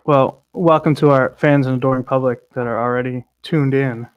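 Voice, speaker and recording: A man's voice: mid-range voice, fairly even intonation, moderate pace, clear, resonant tone, highs cut off. A man hosting a casual show in a cigar lounge, teasing his guests and laughing easily. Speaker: show host. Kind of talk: casual chat.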